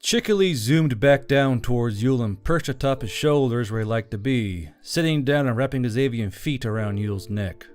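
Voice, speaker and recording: A man's voice: medium pitch, animated, moderate pace, hardly any pauses, darkish tone. A man narrating a story in a scripted audiobook style.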